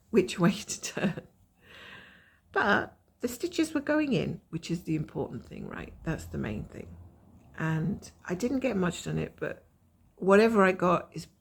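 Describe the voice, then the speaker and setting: A woman with a croaky voice from a cold, chatting casually and rambling as she shows her cross-stitch progress from home. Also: low-pitched. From Scotland. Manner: rambling, chatty, self-mocking.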